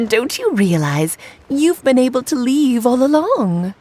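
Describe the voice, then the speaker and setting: A woman's voice: high-pitched, sing-song, measured pace, background noise. A woman playing a playful, enigmatic witch who travels between worlds in an anime video game, teasing, airy and theatrical.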